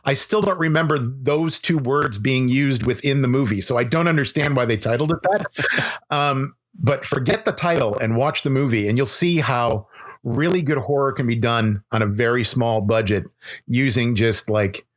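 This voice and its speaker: A man's voice: medium pitch, moderate pace. A man talking at length as a podcast guest in casual interview chat, sharing opinions with a film buff's enthusiasm. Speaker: podcast guest, horror author.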